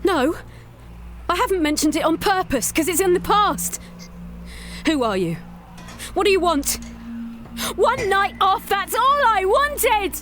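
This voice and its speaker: A woman's voice: extremely high pitch, expressive, unhurried, long pauses, over music. A woman playing a defensive, fiery young woman in an audio drama, blunt and agitated. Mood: angry, panicked.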